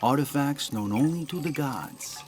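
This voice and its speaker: A man's voice: medium pitch, expressive, measured pace, darkish tone. An actor playing a proud, roguish gambler in a video game, sly and philosophical as he teaches. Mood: sly, amused. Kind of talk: video game cutscene acting. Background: music.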